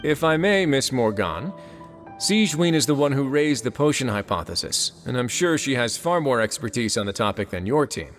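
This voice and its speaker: An actor voicing a dignified duke in a video game, speaking in a formal, measured, courteous way. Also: male voice, mid-range voice, lively intonation, fairly bright tone, lightly acted. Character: dignified composed male duke, judicial authority. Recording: game audio with music underneath.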